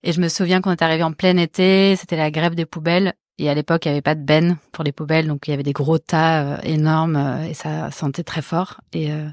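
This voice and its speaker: A woman in her thirties, a visual artist, thinks aloud as a podcast guest. Her voice sits low and stays flat. She pauses often while she searches for words, and the sound is dry and close. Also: dry recording. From born in the Netherlands, raised in Marseille. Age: 30s. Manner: many fillers and restarts, soft and reflective. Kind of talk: hesitant interview talk.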